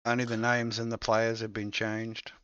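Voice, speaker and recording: Smooth-voiced man joking dryly. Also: fairly low pitch, completely flat delivery, normal speed, fairly bright tone, no room sound, dull audio. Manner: dry, joking, mock-dramatic asides. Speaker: co-host. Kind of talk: casual absurd chat. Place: home setup, streamed show.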